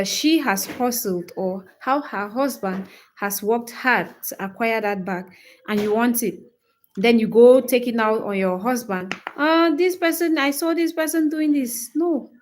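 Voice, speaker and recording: A woman in her twenties with a Cameroonian English accent, chatting casually with livestream viewers in an animated, opinionated and emphatic way. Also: fairly high pitch, slight background noise. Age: 20s. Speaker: livestream host, English-teaching creator.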